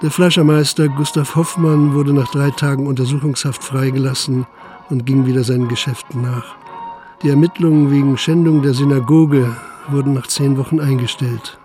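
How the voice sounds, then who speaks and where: An actor playing a principled, stubborn Prussian police detective in a German radio play, measured, insistent and formal. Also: male voice, mid-range voice, fairly even intonation, warm tone, faint music behind, close to an everyday voice. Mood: sober, resigned.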